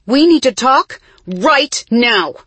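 A woman's voice: on the low side, lively intonation, a little fast, breathy edge, dry recording. A woman voicing a furious, hurt girl in an audiobook, accusing her sister with emphatic force. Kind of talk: audiobook character acting.

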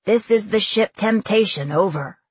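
A woman playing a tense, gruff male ship captain in an audiobook, with loud, clipped delivery as if calling over a radio. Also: medium pitch, normal speed, no echo. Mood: commanding.

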